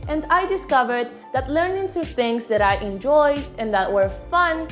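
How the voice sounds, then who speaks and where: Upbeat Argentine woman tutor, light music. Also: sits high. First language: Spanish. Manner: upbeat, clear presenter delivery. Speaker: Spanish tutor. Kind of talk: scripted online lesson to camera. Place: indoor living room with plants and fairy lights. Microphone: seated to camera, medium framing.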